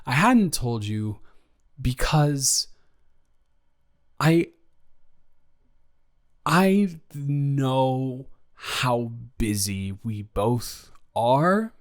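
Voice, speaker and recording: A man performs a young male college student with a mid-pitched voice, nervous and earnest about his feelings. He rambles and trips over words, restarting often. The style is an intimate, improvised audio drama between close friends. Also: lively intonation, drawn-out words, long pauses. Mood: flustered, shy.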